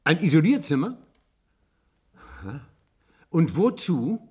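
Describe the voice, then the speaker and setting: A man's voice: on the high side, sing-song, slow, mellow, slightly rough, exaggerated voice. A man playing an uneasy professor in a radio science-fiction drama, polite but insistent, with clipped questions. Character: uneasy male professor, indignant guest who turns captive.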